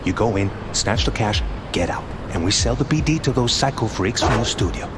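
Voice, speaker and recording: An actor playing a curt heist planner who gives clipped orders. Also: male voice, fairly low pitch, expressive, brisk, natural voice. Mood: briefing. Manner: clipped, commanding instructions. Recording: loud background noise.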